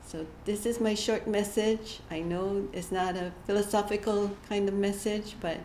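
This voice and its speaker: A woman giving a short inspirational church message, gentle and halting, often laughing at herself. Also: medium pitch, fairly even intonation. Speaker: church speaker. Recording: echoey hall with faint room noise.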